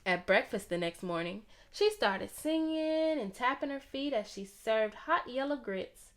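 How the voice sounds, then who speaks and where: A woman reading a children's story aloud in an expressive, theatrical way. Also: a little high, a little slow. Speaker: storybook reader.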